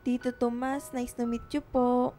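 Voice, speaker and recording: A woman's voice: fairly high pitch, flat delivery, a little slow, dark tone, close to an everyday voice. A Filipino woman playing a polite, curious young schoolgirl in a radio drama. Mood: curious, excited. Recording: music behind the dialogue.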